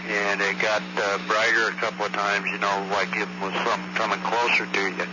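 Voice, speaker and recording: A man's voice: fairly low pitch, flat delivery, brisk. A middle-aged American man giving an informal eyewitness report by telephone in a hesitant, matter-of-fact, detailed way. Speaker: caller, eyewitness reporting a sighting. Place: on a telephone at home. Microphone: telephone handset. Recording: noisy muffled archival phone line.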